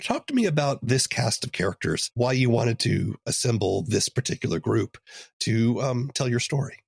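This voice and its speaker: A man's voice: medium pitch, big pitch swings, normal speed, gravelly, breathy edge, dry recording. A man hosting an interview podcast in a conversational style.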